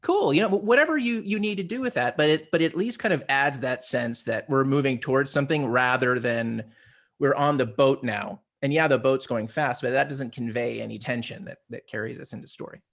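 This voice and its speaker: A man's voice: mid-range voice, fairly quick, gravelly, a bit bright. A man on a podcast panel giving emphatic opinions in casual conversation, now and then swearing. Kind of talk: casual, opinionated film discussion.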